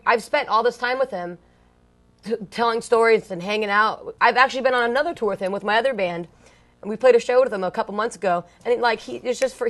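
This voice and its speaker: A young woman from Long Beach, California, talks through her musical past in a relaxed interview. Her speech is loose and chatty, packed with fillers and slang. She is blunt and drops the odd curse without a second thought. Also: on the high side. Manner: blunt, slangy, swears casually. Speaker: punk band singer, interview guest. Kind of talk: casual storytelling interview.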